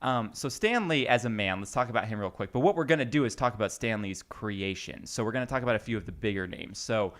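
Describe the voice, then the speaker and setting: A man's voice: fairly low pitch, expressive, fast talker, hardly any pauses, fairly bright tone. A man hosting a podcast in a casual, chatty and jokey way with slangy wording. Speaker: podcast host. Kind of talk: casual chat.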